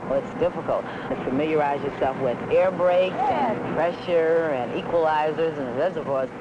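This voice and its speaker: A woman's voice: deep voice, moderate pace. A woman working as a trainee train engineer, speaking in a documentary interview. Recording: very loud engine noise, 1970s film sound.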